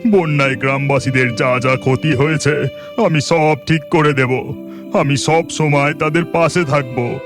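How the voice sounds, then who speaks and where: A man's voice: on the high side, fairly even intonation, unhurried, over music. A man voicing a humbled village landlord in a cartoon, pleading and contrite in manner. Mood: remorseful pleading. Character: humbled village landlord begging his god's forgiveness.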